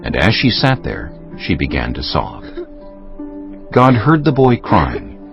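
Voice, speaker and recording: A man's voice: low-pitched, wildly swooping pitch, a little slow, creaky. A man narrating scripture as a dramatized audiobook, telling the story solemnly. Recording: slightly dull sound over music.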